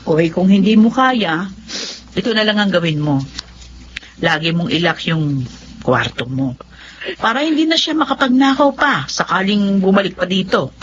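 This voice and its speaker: A blunt woman neighbour played by an actress with a Filipino accent and a mid-pitched voice. She scolds and advises in radio drama style on a slightly lo-fi recording. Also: sing-song, normal speed, long pauses, slight background noise, close to an everyday voice. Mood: advising. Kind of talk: Tagalog radio drama acting. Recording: dull lo-fi radio drama sound.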